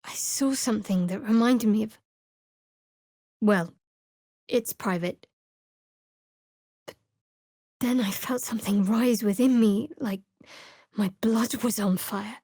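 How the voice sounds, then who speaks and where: A woman playing a guarded, sardonic young woman cleric of a dark goddess in a video game, with a dry manner. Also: fairly high pitch, slow pace, pauses often, slightly rough, no echo, full character voice. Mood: soft, confiding. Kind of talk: video game character voice acting.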